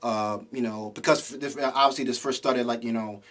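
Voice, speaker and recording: Fast-talking male interview host. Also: mid-range voice, steady tone, a bit bright. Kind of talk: hype casual interview.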